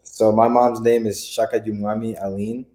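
A young man from Tanzania answering interview questions in a modest, low-key way. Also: little pitch movement, normal speed, warm tone. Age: young adult. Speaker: interview guest, student athlete.

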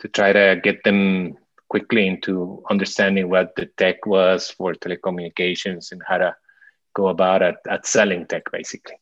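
A man's voice: low-pitched, flat delivery, moderate pace, fairly bright tone, no echo, dull audio. A man in his forties from Mexico, a podcast guest talking casually, with many restarts and fillers. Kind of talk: casual interview chat.

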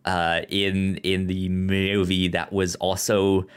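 A man co-hosting a casual film discussion, speaking haltingly and often stammering and repeating his words. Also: sits low, completely flat delivery, smooth. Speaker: podcast co-host. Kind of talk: casual chat about a film.

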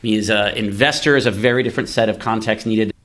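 A man's voice: fairly low pitch, fast talker, a bit bright. A man hosting a casual tech discussion, explaining ideas by thinking aloud and posing rhetorical questions. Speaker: podcast host, venture investor.

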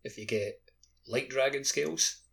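A man's voice: medium pitch, measured pace, vocal fry, a bit bright. A man in casual gaming podcast chat, informally offering tips as one of the co-hosts. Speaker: podcast co-host.

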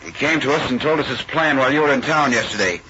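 An actor playing a plain-spoken Western ranch hand in an old radio drama, with deliberate, folksy cowboy phrasing. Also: male voice, mid-range voice, lightly acted. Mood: steady, triumphant. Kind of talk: 1930s-40s radio western acting. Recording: noisy dull old radio broadcast recording.

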